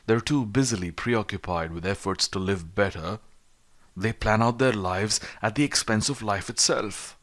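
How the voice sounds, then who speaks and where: A man narrating an audiobook of philosophy in a measured, rhetorical way, with emphatic delivery. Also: deep voice, a bit bright. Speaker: audiobook reader.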